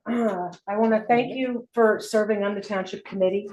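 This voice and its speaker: Woman giving a halting farewell tribute. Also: medium pitch, steady tone. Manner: warm, halting, with fillers. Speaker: fellow committee member. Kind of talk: short farewell tribute at a public meeting. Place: township meeting room.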